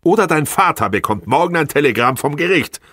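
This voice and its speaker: A man's voice: medium pitch, animated, normal speed, husky, dry recording, studio quality, pitched above the performer's own voice. A man voicing an angry, demanding estranged wife with biting, indignant emphasis in an audiobook. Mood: indignant, hysterical. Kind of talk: audiobook character dialogue.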